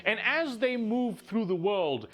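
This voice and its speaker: A man's voice: high voice, expressive, a little slow, a bit bright. A man narrating a scripted lore video with wry, dramatic storytelling.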